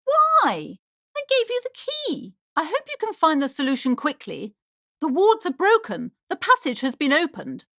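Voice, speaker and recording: A superstitious woman who runs a bookshop in a video game. Her high voice swoops dramatically up and down as she frets and preaches. The delivery is theatrical and anxious, in a very dry, close recording. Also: wildly swooping pitch, normal speed, fairly bright tone, no room sound, heavily acted. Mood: alarmed, dismayed. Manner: theatrical, fretful, swinging between gushing and alarm.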